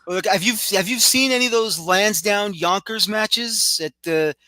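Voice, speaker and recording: A man's voice: sits high, moderate pace, pushed and bright, dry recording. A man with a New York accent hosting a sports podcast, asking chatty, rambling questions. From New York City, the Bronx. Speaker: podcast host. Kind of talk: casual sports interview.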